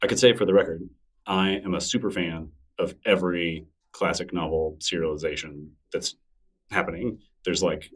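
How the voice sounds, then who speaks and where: A man's voice: deep voice, steady tone, moderate pace, a bit bright, husky, distant mic. A man being interviewed in casual conversation, thoughtful and rambling, full of fillers and restarts. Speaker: interview guest, newsletter creator.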